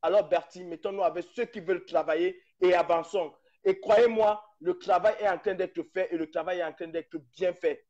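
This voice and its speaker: A man's voice: high voice, fairly even intonation, normal speed, few pauses, darkish tone. A man speaking passionately on a live stream, hammering points with rhetorical questions and repetition. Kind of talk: impassioned political talk. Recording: dull lo-fi live stream audio.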